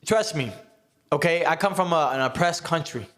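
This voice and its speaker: An American man hosting a casual round-table podcast, talking earnestly and emphatically and often checking in with a quick "right?". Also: on the high side, a bit bright. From United States. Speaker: podcast host.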